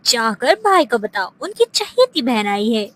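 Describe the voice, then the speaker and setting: A woman playing a sharp-tongued, needling female relative in an animated moral story, with theatrical, pointed delivery. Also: a little high, measured pace, noisy background. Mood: impatient.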